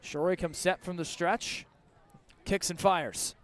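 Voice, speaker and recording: A high-voiced man works as a baseball play-by-play announcer. He delivers live radio commentary, following the game pitch by pitch. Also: fairly even intonation, slow pace.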